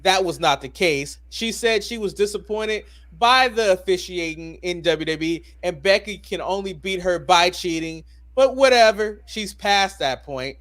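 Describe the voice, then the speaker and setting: A man's voice: sits high, moderate pace, hardly any pauses, a bit bright. A man hosting a casual sports talk podcast, speaking informally with slang and steering the discussion with questions. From United States. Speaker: wrestling podcast host.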